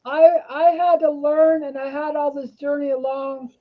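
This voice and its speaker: A woman's voice: sits high, level tone. A woman in her sixties speaking hesitantly in a casual conversation. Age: 60s. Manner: hesitant, halting. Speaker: co-host. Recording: dull lo-fi audio.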